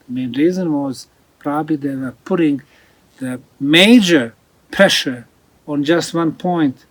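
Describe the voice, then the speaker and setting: Male presenter, slow and deliberate, slightly high voice, unscripted explanation with emphasis on key points. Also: no echo, low-quality recording. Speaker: researcher presenting ancient megalithic sites.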